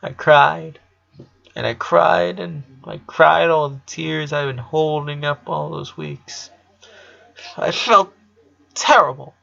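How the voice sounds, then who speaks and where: Man voicing a grieving young girl, halting and sorrowful. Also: fairly high pitch, vocal fry, a bit bright, voice pushed up, heavily acted. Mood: anguished. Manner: halting, repeating words as if choked up. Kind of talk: storybook character reading. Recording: dull lo-fi sound.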